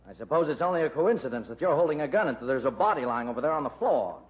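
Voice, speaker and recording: A man's voice: on the high side, lively intonation, very fast, close to an everyday voice. A man acting a brusque, suspicious police detective in a radio crime comedy, questioning sardonically. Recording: dull lo-fi recording.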